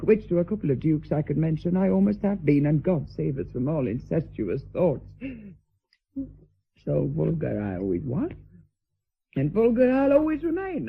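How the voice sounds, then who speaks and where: A thin, phone-like radio drama recording of a vulgar, tipsy lady of middle age. Her voice is gravelly and very raspy, and she declaims with coarse bluntness and wounded vanity. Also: fairly low pitch, full character voice. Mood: bitter, brazen. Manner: declamatory, swinging between coarse bluntness and maudlin grandeur. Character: vulgar, boozy, blowsy lady mistress, vain yet fearful. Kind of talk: theatrical radio drama acting.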